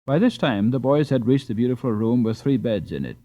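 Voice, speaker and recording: A man's voice: mid-range voice, animated, fairly quick, warm tone, dry recording. A man reading a children's novel aloud as a scripted audiobook narration. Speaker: audiobook reader. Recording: slightly dull, dry recording.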